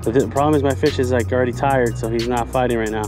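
A man in his thirties vlogging while fly fishing outdoors by a lake, recorded on an action camera, talking casually and getting excited when fish show up. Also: medium pitch, fast, music in the background. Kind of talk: casual vlog narration.

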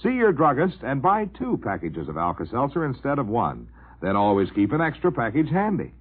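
A man's voice: medium pitch, wildly swooping pitch, brisk, husky. A man announcing a scripted 1940s radio advertisement. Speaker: commercial announcer. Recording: tinny, phone-like vintage 1940s radio recording.

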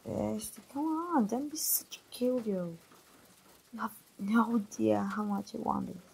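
A woman acts out a chatty young best friend in an intimate ASMR roleplay. Her voice is very breathy and husky, with a soft, dark tone and creaky edges. She talks in a playful, familiar way, teasing the listener like an old friend. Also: fairly high pitch, measured pace, heavily acted. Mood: teasing, friendly. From Mexico. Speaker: voice actor.

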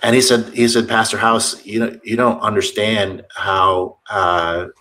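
A man's voice: fairly low pitch, flat delivery, unhurried, noisy background. A man in his thirties, a pastor, talking earnestly over a video call from his home office, often restarting his sentences. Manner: earnest, rambling with many restarts. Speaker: pastor, guest.

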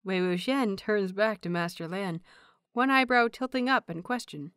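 A woman reading a story aloud as an audiobook narrator, in expressive scripted narration. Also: medium pitch, moderate pace, smooth, dry recording, studio quality. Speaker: audiobook narrator of fan fiction.

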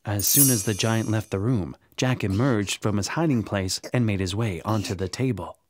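A man narrating a scripted children's bedtime story in a dramatic, expressive way. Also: on the low side, moderate pace, a little hiss or hum. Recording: music under the narration. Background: music.

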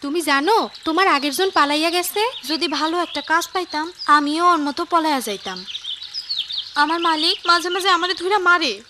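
A woman's voice: very high-pitched, moderate pace. A woman voicing a weary, chatty young housemaid in a cartoon, confiding and plaintive, with a rural Bangladeshi accent. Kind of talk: cartoon voice acting. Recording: noisy, chirping birds behind.

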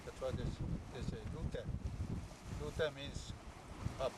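An older man, a master navigator whose first language is Chuukese, speaking haltingly in English in a casual chat on a sailboat at sea. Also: medium pitch, fairly even intonation. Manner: halting, with frequent pauses and fillers. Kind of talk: casual conversation. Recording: loud wind and sea noise.

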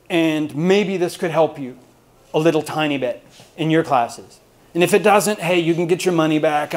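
An American man from Texas giving an informal, humorous lecture to colleagues, rambling and joking at his own expense. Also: on the high side. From Austin, Texas, United States. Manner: self-deprecating, rambling, jokey storytelling. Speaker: university lecturer giving a talk.

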